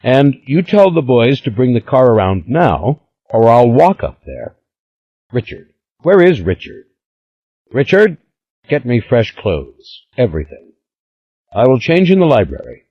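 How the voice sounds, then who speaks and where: A man playing an ill old patriarch, imperious and proud, who gives orders in a husky voice that rises and falls with feeling. The recording sounds slightly dull and lo-fi. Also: mid-range voice, very animated, measured pace, long pauses, voice pushed up. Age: older. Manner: imperious, commanding. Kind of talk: audiobook character voice.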